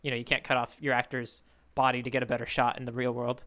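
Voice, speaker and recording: A man's voice: mid-range voice, little pitch movement, quick pace, bright, forceful tone. A man co-hosting a podcast in casual, playful chat about comics. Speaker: podcast co-host.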